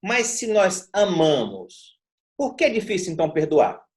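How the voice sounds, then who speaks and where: A man hosting a panel discussion, posing questions with lively, rising intonation. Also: sits high, unhurried. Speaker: discussion host. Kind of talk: moderating a panel.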